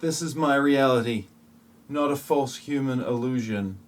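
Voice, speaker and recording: A man's voice: medium pitch, measured pace, natural voice. A man acting a solemn mystic visitor in human form, speaking earnestly and portentously in an amateur parody audio play.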